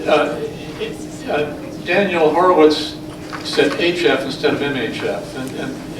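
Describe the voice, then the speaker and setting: A man on a science advisory committee gives a halting, explanatory public comment. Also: fairly high pitch. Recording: echoey room, distant mic, noise.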